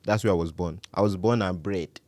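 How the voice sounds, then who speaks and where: A man's voice: low voice, a little fast, dry recording. A man from Nigeria with a Nigerian accent, a comedian guest joking in a casual chat. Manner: playful, deadpan jokes, repeats words.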